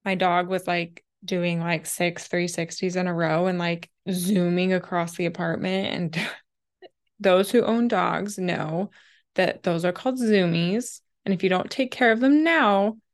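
A young woman in her twenties hosting her own podcast in a casual, chatty solo talk, her voice a little raspy and winded after a run, with lots of "like" fillers. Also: fairly low pitch, measured pace. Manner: chatty, filler-heavy with frequent "like". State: slightly raspy, winded from running. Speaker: podcast host.

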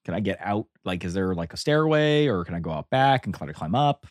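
A man playing a tabletop role-playing game, thinking out loud in casual, halting talk. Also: deep voice, sing-song, dry recording, studio quality. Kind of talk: casual improvised table chat.

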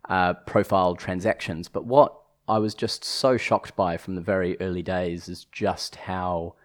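A man in his thirties with a New Zealand accent, chatting as a podcast guest, often restarting and pausing with fillers. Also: low voice, steady tone. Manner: hesitant, many restarts and fillers. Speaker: podcast guest, chief commercial officer. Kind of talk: casual podcast conversation.

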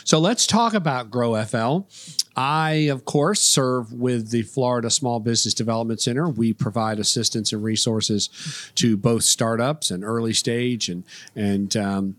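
A man's voice: medium pitch, a little slow, a bit bright, dry recording. A man hosting a business podcast, talking in a chatty way with many fillers. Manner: chatty, lots of uh fillers, bursts of speed. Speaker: podcast host. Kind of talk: casual interview.

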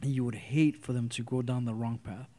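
A man's voice: medium pitch, steady tone, moderate pace, warm tone. A man hosting an interview, talking in a friendly, affirming, conversational way. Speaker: interview host. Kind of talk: casual interview talk.

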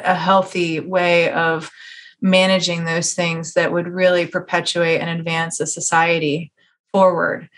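A woman with a slightly low voice hosts a relaxed podcast interview. She talks conversationally and states her opinions with emphasis, often chuckling as she goes. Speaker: podcast host interviewing a guest.

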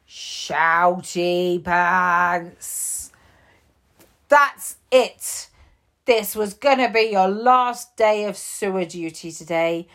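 A woman voicing a bossy male police chief in a children's story, loud, stern and scolding. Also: high voice, voice pushed up, heavily acted.

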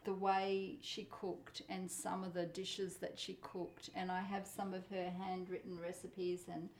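A middle-aged woman who grew up speaking Polish, talking thoughtfully in a relaxed interview while cooking, with the odd soft laugh. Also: fairly low pitch, echoey room. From Australia. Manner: thoughtful, measured, laughs softly. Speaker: interviewee, home cook. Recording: faint background noise.

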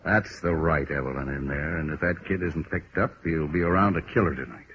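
An actor playing a blunt, gruff American police detective with a hard edge in a 1950s radio drama. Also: male voice, very deep voice, brisk. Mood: gruff, grumbling. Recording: muffled, noisy vintage radio recording.

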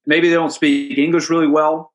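A hockey play-by-play announcer answering interview questions in a relaxed, anecdotal way, chatty and amused. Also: male voice, fairly high pitch, moderate pace. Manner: chatty storyteller, amused, with restarts and asides.

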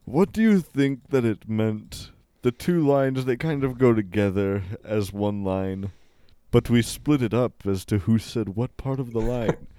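Deadpan male comic, slow unhurried delivery, dry close sound. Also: mid-range voice, smooth, no room sound. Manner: deadpan, mock-serious. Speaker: comedy musician guest. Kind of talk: absurd comedic banter.

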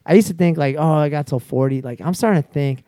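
A man's voice: on the high side, fast, mellow, dry recording. Man, forties, laid-back, slangy, casual chat, dry close sound. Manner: laid-back, slangy, lots of restarts and fillers. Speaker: guest skydiver.

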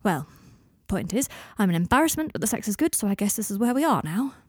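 A sardonic, self-deprecating young man played by a woman narrator in audiobook dialogue. He deflects with sarcasm and rambles into gloomy confessions, in a mellow voice recorded close and dry. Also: medium pitch, big pitch swings, quick pace, breathy edge, dry recording. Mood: gloomy, wry.

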